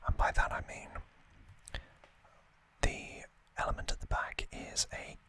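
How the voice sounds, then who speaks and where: A man hosting an ASMR video, whispering throughout as he explains things off the cuff, with thoughtful, hesitant pauses. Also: pushed and bright. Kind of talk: whispered ASMR, unscripted explanatory unboxing talk.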